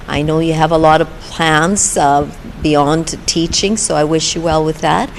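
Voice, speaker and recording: Woman, very low pitch, farewell wishes, faint ambient noise. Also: fairly even intonation, measured pace, poor audio. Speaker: colleague giving a tribute. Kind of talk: well-wishing farewell.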